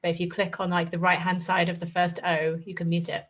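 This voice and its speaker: A woman's voice: fairly low pitch, completely flat delivery, brisk, a little hiss or hum. A woman giving casual step-by-step technical help during an online session. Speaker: technical helper.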